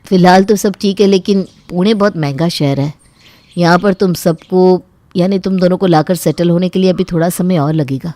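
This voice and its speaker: Woman as a practical young husband in a family story, lowish pitch, soft dark tone. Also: dry recording, lightly acted.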